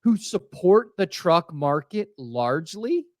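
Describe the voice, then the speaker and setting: A man from Texas co-hosting a casual automotive industry podcast, talking in an animated way with frequent restarts. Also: high-pitched, slow, no echo, clean studio sound. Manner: animated, many restarts, acts out quoted voices. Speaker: podcast co-host.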